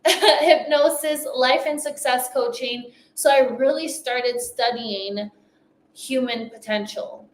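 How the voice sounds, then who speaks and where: French-accented woman giving a motivational talk, highish voice, echoey room. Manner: emphatic, repeating phrases for effect. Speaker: summit speaker, coach. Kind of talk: motivational speech.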